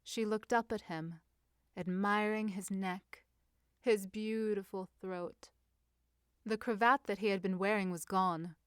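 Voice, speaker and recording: A woman's voice: mid-range voice, fairly even intonation, unhurried, clear, resonant tone, no room sound, studio quality. A woman reading a story as a scripted narration. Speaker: fan fiction narrator.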